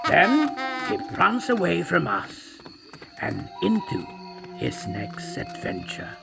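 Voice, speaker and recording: A sly old storyteller tiger, voiced by a performer, rasps out the tale slowly, his tone rising and falling a lot. The voice is rough and gravelly, with music playing under it throughout. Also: medium pitch, wildly swooping pitch, heavily acted. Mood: wry. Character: boastful tiger narrating his own tale. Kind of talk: scripted audio storybook narration.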